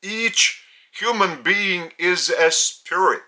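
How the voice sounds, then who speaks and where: A man from Lithuania with a Lithuanian accent, a spiritual teacher speaking in short, halting phrases, his native language being Lithuanian. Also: sits high, lively intonation, bright, forceful tone. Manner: halting, phrase-by-phrase delivery with pauses. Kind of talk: slow spiritual teaching talk.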